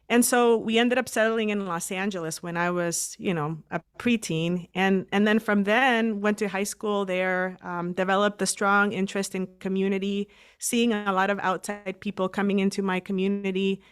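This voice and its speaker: A woman's voice: mid-range voice, steady tone, moderate pace, clear, resonant tone. A woman from El Salvador, a nonprofit director guesting on a podcast, telling her story fluently and confidently. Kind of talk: casual interview.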